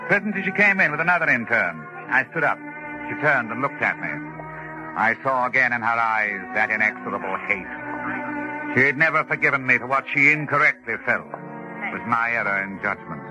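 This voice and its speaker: An actor playing a calculating doctor with formal, clinical diction in an old radio drama. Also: male voice, medium pitch, expressive, fairly quick, over music, lightly acted, rough recording. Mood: grave narrating. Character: cool, calculating male doctor recounting his murder experiment. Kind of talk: 1940s radio acting, dramatic first-person narration.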